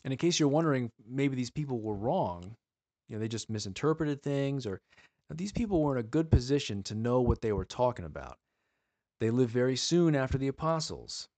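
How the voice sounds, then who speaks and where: A man giving an earnest sermon-style teaching, asking his listeners pleading rhetorical questions and slowing down to stress key words. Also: mid-range voice, very animated, a little mellow, no room sound.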